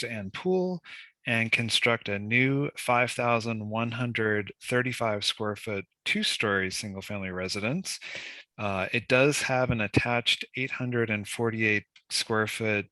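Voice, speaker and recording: A man's voice: medium pitch, pushed and bright, no room sound. Congested man giving a planning report. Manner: measured, methodical, with frequent ums and uhs. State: congested from a cold. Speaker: senior city planner. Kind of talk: presenting a staff report at a public meeting.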